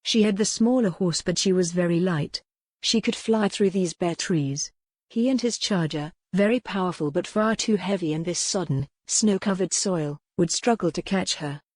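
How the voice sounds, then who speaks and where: A woman reading scripted historical romance narration as an audiobook narrator, in a measured, articulate storytelling manner. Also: fairly low pitch, a little mellow, no echo.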